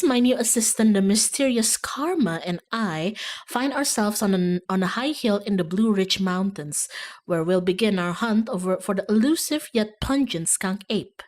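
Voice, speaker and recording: A woman's voice: mid-range voice, a little mellow, natural voice. Eager young woman monster hunter, voiced by a streamer. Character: eager, determined young woman online cryptid-hunting video maker.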